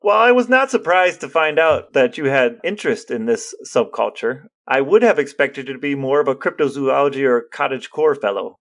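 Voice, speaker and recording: A man's voice: mid-range voice, lively intonation, brisk, few pauses, fairly bright tone. A man co-hosting a podcast in casual chat, with a wry, dry sense of humour. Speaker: podcast co-host.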